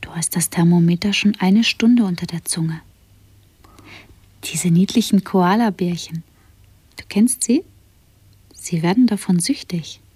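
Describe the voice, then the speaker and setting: Whispery, slow, gently questioning woman. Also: medium pitch, no echo. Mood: mildly concerned. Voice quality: soft, whispery, very breathy. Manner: slow, hesitant, tentative questioning. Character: hypochondriac's female companion, curious, gently questioning. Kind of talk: 1970s German radio play acting.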